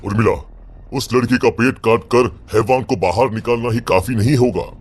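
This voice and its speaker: A man's voice: mid-range voice, fairly even intonation, normal speed, breathy edge, natural voice. A man playing a grave old village priest who speaks with solemn foreboding in a horror story. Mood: stern warning. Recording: background music under the voice.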